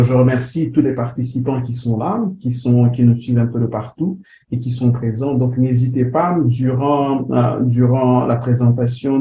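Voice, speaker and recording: Halting man giving a webinar talk. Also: mid-range voice, warm tone. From DR Congo. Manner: hesitant, many fillers and restarts. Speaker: webinar presenter, editor. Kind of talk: online lecture presentation. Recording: roomy sound with faint background noise.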